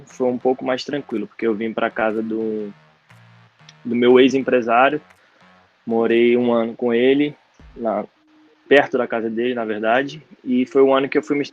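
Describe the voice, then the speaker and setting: A man's voice: medium pitch, darkish tone. Easygoing young Brazilian man, lo-fi. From Brazil, Brasília. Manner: easygoing, slangy. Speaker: guest footballer. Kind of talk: casual interview chat. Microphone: video call.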